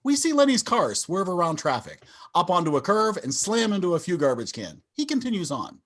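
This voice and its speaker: A man's voice: high-pitched, huge pitch swings, fairly quick, fairly bright tone. A man reading scripted stage directions as narrator of a table read over a video call.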